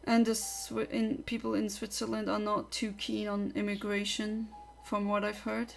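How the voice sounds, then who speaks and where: A woman's voice: mid-range voice, monotone, moderate pace, vocal fry. Chatty young woman. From Luxembourg. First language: Luxembourgish. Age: young adult. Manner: chatty, opinionated, rambling. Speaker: livestreamer. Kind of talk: casual livestream chat.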